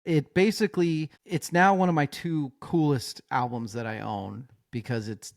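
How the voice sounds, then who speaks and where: A man hosting a podcast in casual chat, animated and jokey, swearing often. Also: on the high side, normal speed, creaky. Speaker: podcast host.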